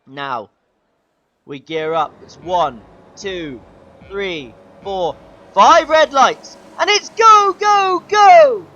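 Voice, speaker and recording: A young man commentating his own sim race, his voice going hoarse, excitable and shouting at the big moments. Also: high-pitched, drawn-out words, muffled recording. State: losing his voice. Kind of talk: gaming commentary.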